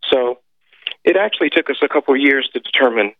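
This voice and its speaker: A man whose first language is French, a guest telling his story in a radio interview, pausing often with filler sounds and restarts. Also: medium pitch, no echo. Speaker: guest, former intelligence officer. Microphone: phone call. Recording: tinny phone line, dry.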